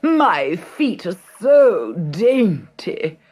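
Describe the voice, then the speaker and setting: A woman voicing spoiled, jealous young stepsisters in a storybook, with petulant, drawn-out whining and wailing. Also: mid-range voice, very animated, darkish tone, breathy edge, voice pushed up, heavily acted. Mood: shrieking, eager. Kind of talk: dramatised children's storybook reading.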